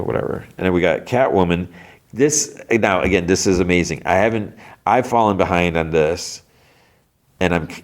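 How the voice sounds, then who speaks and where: A man with a very low, deep voice and a stuffy, congested nose talks through a solo podcast segment. He rambles casually, often restarting sentences and trailing off mid-thought. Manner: rambling, self-interrupting, many restarts. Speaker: podcast host. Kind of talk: casual solo comics chat.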